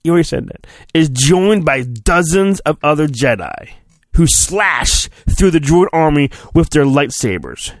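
A man with a fairly high, very animated voice reads a storybook in a theatrical, mock-dramatic style. He takes his time and often breaks off into irreverent comments. The recording is dry and close. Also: measured pace, no echo. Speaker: podcast host. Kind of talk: mock-dramatic storybook reading with crude comic asides.

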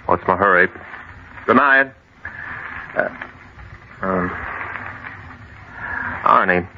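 An actor playing a skeptical, down-to-earth friend, blunt and wry, in old-time radio drama style. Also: male voice, low-pitched, drawn-out words, heavily acted. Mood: uneasy. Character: skeptical, down-to-earth man, impatient worried friend. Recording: muffled, noisy old radio recording.

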